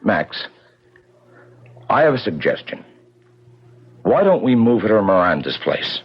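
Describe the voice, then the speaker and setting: Radio drama: blunt protector, lowish husky voice, direct delivery, lo-fi 1970s sound. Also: male voice, big pitch swings, unhurried, exaggerated voice. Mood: firm, frank. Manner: firm, direct. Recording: dull lo-fi 1975 radio broadcast.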